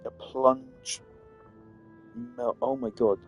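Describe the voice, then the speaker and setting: A male game streamer talks casually and loosely, as if working things out aloud. His voice sits in a middle register for a man, and soft music plays faintly behind his commentary. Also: medium pitch, steady tone, drawn-out words. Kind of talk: casual rambling gaming commentary.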